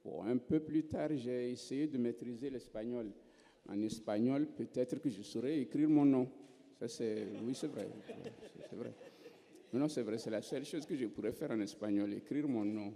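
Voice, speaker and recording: A man's voice: medium pitch, normal speed, vocal fry, dark tone. A man from Togo, a writer on a festival panel, telling his story with wry, self-mocking humor. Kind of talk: reflective panel talk. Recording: reverberant festival hall.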